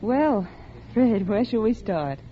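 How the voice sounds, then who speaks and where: Muffled noisy old radio recording, genteel society lady, polite but guarded, very expressive pitch. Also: takes it slowly. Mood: gracious, surprised. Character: poised society woman reuniting with an old flame. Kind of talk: 1940s radio drama acting.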